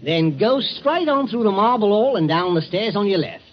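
A jaunty Cockney night watchman chats and jokes in a lively, up-and-down voice. The old radio recording sounds dull and is full of hiss. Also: sits high, normal speed. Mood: joking. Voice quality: jaunty, folksy. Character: chatty joking male Cockney night watchman. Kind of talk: 1940s radio acting.

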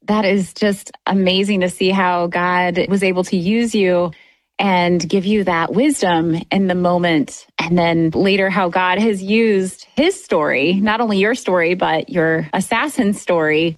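A woman's voice: medium pitch, no echo. A woman hosting an interview show, giving affirming responses and wrapping up the segment. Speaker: host.

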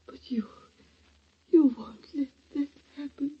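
An actress playing a frail, sentimental old aunt on her deathbed, speaking in a weak, tearful, breathy whisper in an old radio play. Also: high voice, slow pace. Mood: weak, pleading. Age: older. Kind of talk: 1940s radio acting. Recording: dull old radio recording.

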